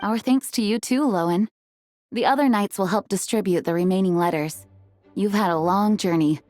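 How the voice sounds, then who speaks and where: A woman voicing a composed adult woman who leads an order of knights, speaking in a calm, measured, formal way. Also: medium pitch, dry recording, faint music behind, lightly acted.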